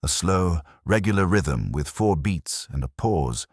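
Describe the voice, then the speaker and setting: A man with an extremely low voice narrates a detective story in a solemn, literary way. His delivery is measured, yet the pitch moves about with real variety. The sound is close and dry. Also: lively intonation, no echo. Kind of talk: scripted first-person detective narration.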